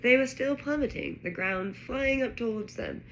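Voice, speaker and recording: A woman reading a children's novel aloud in an expressive, dramatic narrating style. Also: a little high, moderate pace, poor audio. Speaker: storybook reader. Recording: faint background noise.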